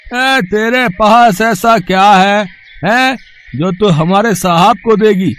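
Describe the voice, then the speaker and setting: An actor playing a scornful gatekeeper in a moral story cartoon, brusque, hectoring and dismissive. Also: male voice, high-pitched, slow, fairly bright tone, smooth. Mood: scornful, bossy. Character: gruff, scornful male gatekeeper of rich man's house.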